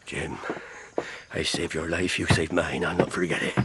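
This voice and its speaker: A man playing a wily, cajoling pirate ringleader with a gravelly voice in a radio drama. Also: low-pitched, flat delivery, moderate pace, noisy background. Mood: sly, confiding.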